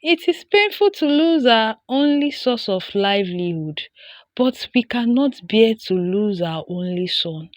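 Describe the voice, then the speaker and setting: Distraught village mother from a folktale, played by a female storyteller, emotional, raised pitch. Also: expressive, measured pace, no echo, clean studio sound. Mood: sorrowful resolve. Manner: emotional, pleading. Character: distraught village mother pleading for her son.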